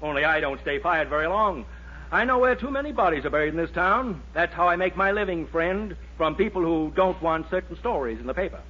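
A man's voice: fairly high pitch, expressive, a little fast, sharp tone, husky, exaggerated voice. An actor playing a suave, shrewd male society editor in 1940s radio sitcom style. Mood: suspicious, menacing. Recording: muffled, noisy 1940s radio recording.

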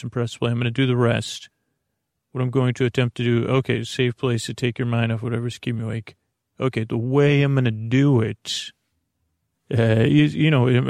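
A man with a creaky, gravelly voice of medium pitch hosts a sleep podcast, recorded close and dry. He meanders in a halting bedtime monologue, circling back over his words and wandering into tangents. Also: a little mellow, no room sound. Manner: meandering, halting, repeats himself, drifts off topic. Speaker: podcast host.

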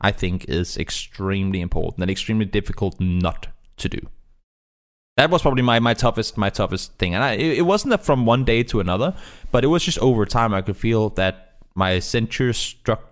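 A man who is not a native English speaker, talking casually and in a rambling, animated way as a guest caster in an interview. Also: lowish voice, brisk. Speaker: esports caster being interviewed.

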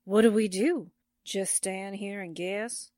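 A woman's voice: medium pitch, fairly even intonation, takes it slowly, mellow, no room sound, clean studio sound, lightly acted. A woman acting a sardonic, wisecracking sidekick to a reporter in a 1940s-style radio drama. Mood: puzzled, cooperative. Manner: wry, wisecracking.

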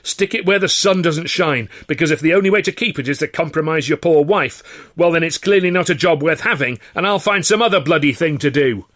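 Man acting out a furious, shouting young man. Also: a little high, brisk, pitched above the performer's own voice, natural voice. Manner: shouting, roaring, snarling. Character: furious, defiant young man confronting his boss.

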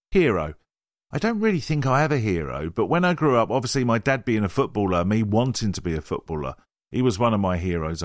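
Man, lowish voice, reading questions and answers aloud, dry close sound. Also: no room sound. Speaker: reader.

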